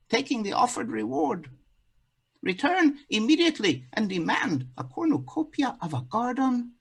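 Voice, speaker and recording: A man doing a shrill, scolding peasant wife. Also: vocal fry, breathy edge, exaggerated voice. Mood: furious demanding. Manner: shrieking, insulting, commanding.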